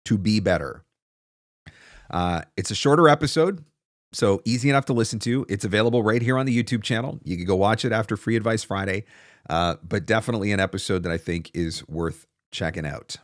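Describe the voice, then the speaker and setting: Man in his forties, lower-pitched voice, casual livestream host, conversational explainer, close dry mic. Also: expressive, a little fast, no echo. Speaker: livestream host, voice-over coach. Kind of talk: casual livestream advice talk. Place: small home studio room, indoors. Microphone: close desktop broadcast microphone.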